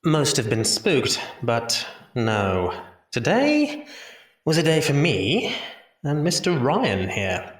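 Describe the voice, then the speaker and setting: An actor playing a genial, teasing Victorian doctor with a courteous, slightly formal manner, recorded at a distance in a roomy space. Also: male voice, mid-range voice, wildly swooping pitch, slow. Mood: keen, explanatory. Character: male Victorian hospital doctor, genial, teasing, enthusiastic anatomist. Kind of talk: audio drama acting.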